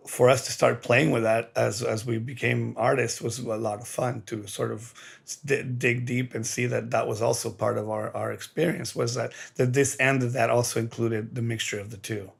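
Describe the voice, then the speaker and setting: Earnest man with a gravelly creak. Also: mid-range voice. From Mexico. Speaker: interviewed artist. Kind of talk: reflective conversational explanation.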